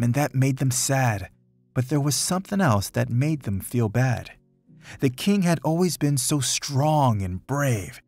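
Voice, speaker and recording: A man reading a rhyming children's picture book aloud in an expressive, dramatic storytelling manner. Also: medium pitch, dry recording. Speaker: storybook reader.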